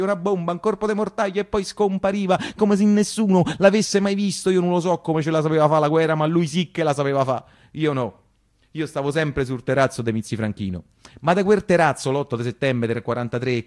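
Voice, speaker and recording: A man with a Roman accent performing a theatrical first-person monologue as a working-class storyteller recalling boyhood, emphatic and building through rhythmic repetitions. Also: on the high side. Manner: emphatic, repeats phrases in rhythmic build-ups. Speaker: storyteller actor.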